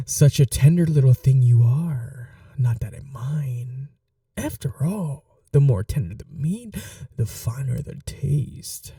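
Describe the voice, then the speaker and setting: A man playing a possessive, sadistic vampire lord in an ASMR roleplay, courtly, theatrical and taunting, with breathy drops into whispers. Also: fairly high pitch, warm tone, no echo, clean studio sound, distant mic, exaggerated voice. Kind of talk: dominant ASMR roleplay acting.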